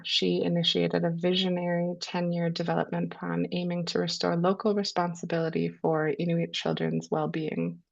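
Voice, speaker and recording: A woman with a low voice and a cold, hosting a webinar and introducing its speakers in a measured way with frequent ums. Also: vocal fry. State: has a cold. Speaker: webinar host. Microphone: video call. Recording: lo-fi video call audio.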